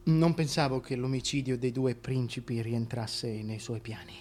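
An actor playing a hesitant, deferential adviser to a ruler in theatrical dialogue, speaking in a measured, halting way. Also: male voice, mid-range voice, steady tone, darkish tone, slight background noise, close to an everyday voice. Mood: cautious, probing.

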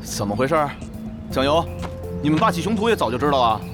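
A man acting a blunt, excitable guild leader in a Chinese radio drama, brash and full of slangy exclamations. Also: a little high, fast talker. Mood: indignant, blunt. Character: blunt, excitable male guild leader in online-game world. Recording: background music under the voice.

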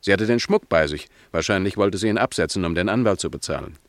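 An actor playing a talkative, matter-of-fact police officer, chatty yet official, in a German radio play. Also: male voice, low voice, lively intonation, fast, dry recording. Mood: chatty, confiding.

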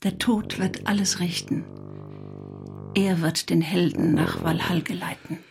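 An actress in a German radio drama playing a grieving, fanatical older Nazi wife, breathy and solemn as if in a trance. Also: female voice, fairly low pitch, fairly even intonation, a little slow, music in the background.

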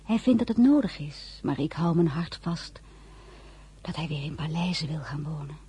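Husky, mellow young diarist. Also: female voice, low-pitched, animated, normal speed, heavily acted. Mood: wry, confiding. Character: tender, wry young woman narrating her own diary. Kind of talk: radio drama narration, intimate diary reading.

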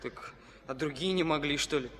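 A man's voice: a little high, moderate pace, fairly bright tone, natural voice. An actor in a Russian radio play playing an earnest, stubborn teenage boy who talks bluntly and contrarily. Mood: indignant, sulky. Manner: blunt, contrary, literal-minded. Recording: hissy old radio play, long reverb, ship ambience.

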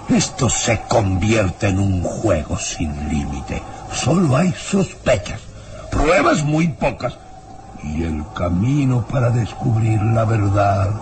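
A man playing a scornful, ominous baron in a radio drama, with a theatrical, drawn-out and foreboding delivery. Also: lowish voice, sing-song, background noise. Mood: scornful, skeptical. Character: ominous, scornful baron obsessed with human wolves. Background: dramatic music.

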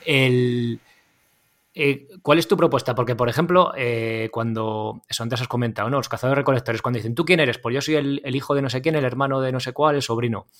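A man's voice: medium pitch, a little fast, fairly bright tone. A man with a Spanish accent from Spain interviews casually, in an informal, hesitant way with rambling questions. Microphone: remote online call.

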